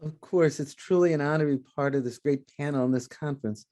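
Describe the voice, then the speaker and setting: A man's voice: on the high side, fast, dark tone. A male panelist reading aloud from a piece he wrote. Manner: reading out his own written piece. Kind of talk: panel remarks.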